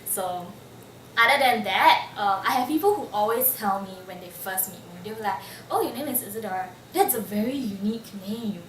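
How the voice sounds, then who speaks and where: A woman's voice: mid-range voice, animated, a little fast, fairly bright tone, slight background noise. A woman, casually telling personal stories and full of "like" fillers. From Singapore. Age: 20s. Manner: chatty, lots of "like" fillers. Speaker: interviewee. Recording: roomy room, mic at a distance, faint ambience.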